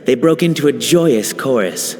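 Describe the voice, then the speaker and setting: A man's voice: medium pitch, expressive, normal speed, darkish tone, natural voice. A man voicing an adventurer recounting his log. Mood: reminiscing. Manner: storytelling, recounting. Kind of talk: video game voice acting. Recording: game audio with reverb and faint music.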